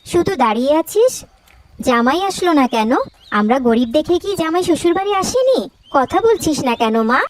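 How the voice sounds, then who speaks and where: A woman's voice: very high-pitched, slight background noise. A woman playing a worried middle-aged mother in a cartoon story, pleading and questioning. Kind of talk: Bengali cartoon story dialogue.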